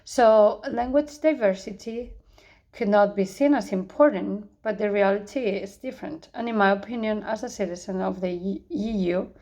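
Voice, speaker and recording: A young woman from Spain speaks English with a Spanish accent, reading a prepared course answer. Her voice sits at an ordinary middle pitch, and she keeps an earnest, measured pace, stumbling now and then into a quick restart. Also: mellow. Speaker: student.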